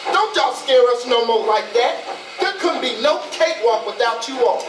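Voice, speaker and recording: A man acting a flirtatious, cajoling man in a stage play, with an animated, sweet-talking manner. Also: very high-pitched, moderate pace. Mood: excited. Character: flirtatious, cajoling Southern man on a train outing. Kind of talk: readers theatre stage acting. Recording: echoey, distant, noisy ambience.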